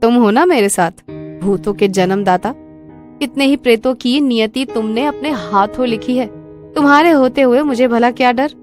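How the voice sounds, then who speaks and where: An actress playing a worried, loyal young woman with concerned, dramatic delivery in an animated horror story. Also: a little high, normal speed, faint music behind, natural voice. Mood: supportive.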